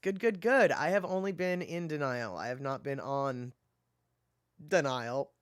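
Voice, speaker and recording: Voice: mid-range voice, measured pace, clear, resonant tone, dry recording, studio quality. Chatty streamer reading chat aloud. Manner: chatty, laughing, muses aloud while reading comments; frequent fillers like "let's see here". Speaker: livestream host reading chat. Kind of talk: casual livestream chat.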